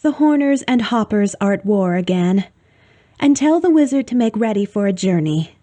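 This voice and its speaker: A woman's voice: medium pitch, animated, normal speed, warm tone, close to an everyday voice. A woman voicing a young girl queen of a fairyland in a storybook, cheerful yet commanding. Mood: vexed, commanding.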